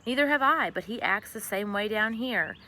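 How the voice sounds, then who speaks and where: A woman voicing a gentle, wise, reassuring neighbour lady in a children's storybook. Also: medium pitch, expressive, moderate pace, slight background noise. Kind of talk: children's storybook read aloud.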